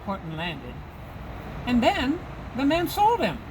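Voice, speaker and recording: A woman reading rhyming verse aloud for children, with deliberate, dramatic phrasing. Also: fairly high pitch, huge pitch swings. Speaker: storybook reader. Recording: clearly noisy background.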